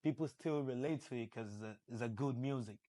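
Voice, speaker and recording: A man's voice: mid-range voice, brisk, dark tone. A young Nigerian man with a Nigerian accent, a musician chatting as an interview guest and leaning on lots of fillers. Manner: rambling, many fillers like "you know". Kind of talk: casual interview chat.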